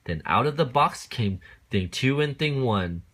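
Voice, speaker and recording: A man's voice: medium pitch, animated, measured pace, a bit bright. Man reading a rhyming picture book aloud. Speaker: parent reading to his child. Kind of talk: expressive children's picture-book read-aloud.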